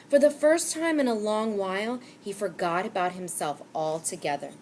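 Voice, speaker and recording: A woman reading a children's novel aloud with expressive storytelling. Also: medium pitch, slight background noise. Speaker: reader.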